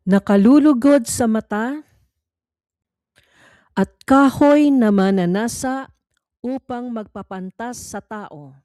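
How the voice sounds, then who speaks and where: A woman reading a scripted Bible quiz in a measured, formal, instructional manner. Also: a little high, dark tone, dry recording, clean studio sound. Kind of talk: scripted read-along narration.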